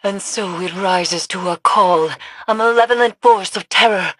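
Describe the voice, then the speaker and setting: An actress playing a grave mystic seer in a video game, speaking solemnly and formally. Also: female voice, mid-range voice, a little slow, fairly bright tone, dry recording. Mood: ominous, commanding.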